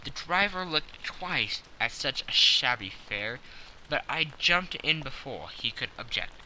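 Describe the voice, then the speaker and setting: A man's voice: medium pitch, expressive, a little slow, pushed and bright, a little hiss or hum, low-quality recording. A man narrating a classic story as a scripted, dramatic audiobook.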